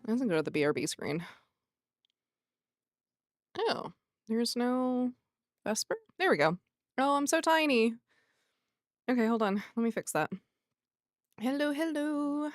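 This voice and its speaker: Soft, higher-pitched woman chatting on stream. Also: lively intonation, unhurried, long pauses, no room sound, clean studio sound. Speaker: livestream host. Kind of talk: casual livestream chat with viewers.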